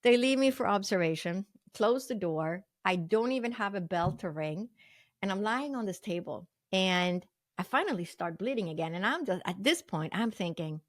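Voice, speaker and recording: A woman's voice: medium pitch, expressive, moderate pace. An older woman from Sweden, a guest telling her story in a vivid, earnest way with many fillers. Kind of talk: storytelling interview talk.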